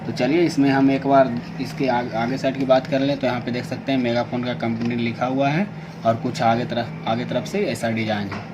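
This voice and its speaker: A man's voice: mid-range voice, steady tone, normal speed. Indian man demonstrating, noisy recording. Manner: informal, repetitive step-by-step explaining. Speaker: product reviewer and video host. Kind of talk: unboxing demonstration narration. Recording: clearly noisy amateur recording.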